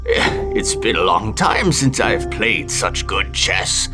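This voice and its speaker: Male actor as aged heavenly servant for a cartoon fairy tale: lowish, swooping, courtly, theatrical. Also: expressive, slow pace, music in the background. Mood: courteous. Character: celestial courtier, old male griffin immortal, deferential. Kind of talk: animated bedtime story acting.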